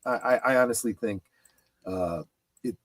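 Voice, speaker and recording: A man hosting a casual panel discussion on his channel, halting and emphatic as he thinks aloud. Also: medium pitch, fairly bright tone, no echo. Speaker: host of a pop culture streaming channel.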